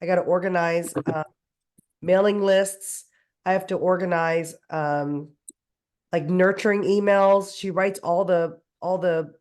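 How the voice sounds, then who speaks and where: A woman with a hoarse voice worn down by a cold, pitched on the lower side. She speaks with enthusiasm in a casual panel chat and piles up long lists as she goes. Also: a little mellow. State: hoarse from a cold, losing her voice.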